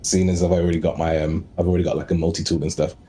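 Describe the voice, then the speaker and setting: A man chatting casually as a player in a tabletop game, speaking haltingly and repeating words. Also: low-pitched, level tone, dark tone, slight background noise. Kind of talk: casual chat.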